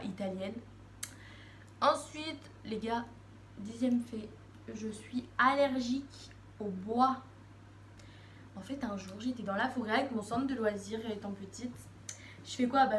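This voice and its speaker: Une adolescente qui parle face à sa caméra, bavarde, hésitante, avec beaucoup de « euh » et de reprises. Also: female voice, on the high side. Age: teens. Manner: chatty, rambling, many fillers and restarts. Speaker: vlogger. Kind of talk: casual talk to camera. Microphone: phone camera. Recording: echoey room, faint background noise.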